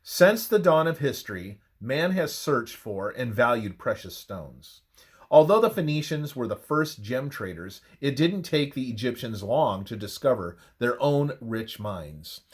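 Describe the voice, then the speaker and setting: Slow, expressive male narrator. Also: medium pitch, slightly rough. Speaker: narrator reading a religious history presentation. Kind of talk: scripted narration, read aloud.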